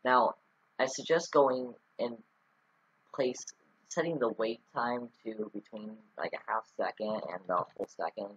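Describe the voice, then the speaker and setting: A man's voice: mid-range voice, a little slow, a bit bright, husky, lo-fi sound. A man talking through a casual screen-recorded coding tutorial, rambling with many ums and restarts. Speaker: scripting tutorial host.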